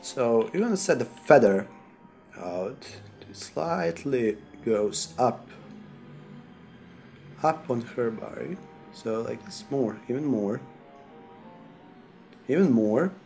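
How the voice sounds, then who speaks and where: A man from Serbia with a Serbian accent, sick, talking through a software tutorial in halting non-native English while he clicks. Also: mid-range voice, lively intonation, gravelly, faint music behind. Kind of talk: screen-recorded software tutorial.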